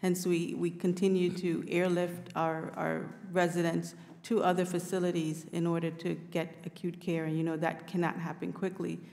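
Middle aged woman testifying, lowish voice, careful and earnest, big echoey room. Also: flat delivery, moderate pace, vocal fry, few pauses, a little mellow. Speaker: witness at a congressional hearing. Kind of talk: formal testimony. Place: large indoor committee hearing room. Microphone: table microphone. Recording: echoey hearing room, long reverb.

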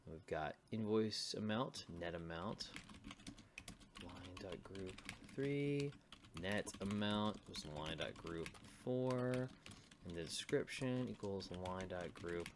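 A man's voice: low voice, noisy background. A man giving an informal coding tutorial, muttering to himself and thinking aloud as he works on screen. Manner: mutters to himself while typing. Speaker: programming tutorial presenter.

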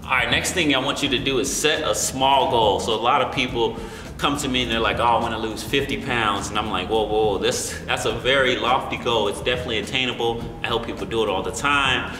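A man's voice: medium pitch, fast talker. A young American man giving informal, encouraging fitness instruction to camera in a gym, often checking in with the listener. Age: young adult. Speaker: fitness coach and video host. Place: large indoor gym. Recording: echoey, reverberant room with music behind. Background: music.

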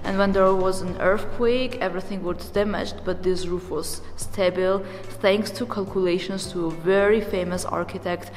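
A woman's voice: fairly low pitch, steady tone, faint music behind. Armenian-accented woman guide, hesitant explaining. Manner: hesitant, frequent "uh" pauses, informative. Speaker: tour guide. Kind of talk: explaining history to a visitor. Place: outdoors at a monastery and inside a stone church.

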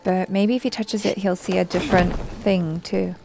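A woman's voice: lowish voice, steady tone, normal speed, gravelly. A woman hosting a reaction video, commenting casually on what she watches. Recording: game music faintly behind.

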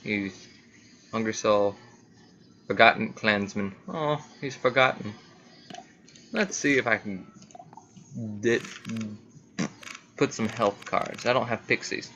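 A man's voice: on the low side, slow, long pauses, sharp tone. A man giving casual, rambling gameplay commentary, muttering reactions to himself as he plays. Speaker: gaming video host. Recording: clearly noisy home recording.

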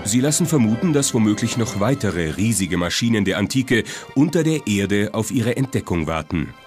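A man's voice: lowish voice, sing-song, moderate pace, a little mellow. A man reading scripted documentary narration as the narrator. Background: prominent music.

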